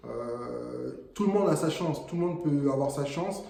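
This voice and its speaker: A man's voice: medium pitch, animated, measured pace, gravelly, warm tone. Earnest man in an echoey room. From French Guiana. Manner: earnest, motivational, hesitant fillers. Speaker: interview guest, property investor. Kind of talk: casual interview.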